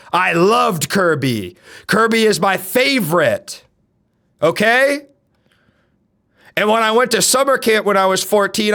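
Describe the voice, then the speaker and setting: A young man with a deep voice, streaming and chatting casually, loud and brash, swinging from shouts to mutters. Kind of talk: casual live-stream chat.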